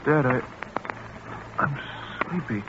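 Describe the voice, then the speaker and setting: An actor playing a trusting, drowsy young son in a 1940s radio drama, speaking hesitantly. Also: male voice, mid-range voice, expressive, slow. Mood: drowsy, confused. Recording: very noisy, muffled old radio recording.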